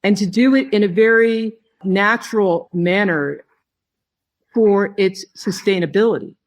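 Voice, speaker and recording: A woman giving a reflective interview as a guest, speaking in a halting, thoughtful way with phrases broken by pauses. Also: medium pitch. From not originally from Hawaii, long resident there. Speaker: podcast guest, tea grower and company head.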